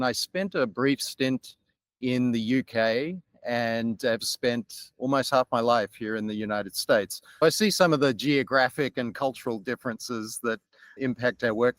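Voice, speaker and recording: A middle-aged Australian man, a podcast guest, talking in a measured, articulate way about his career. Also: medium pitch, a bit bright, no echo. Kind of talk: podcast interview.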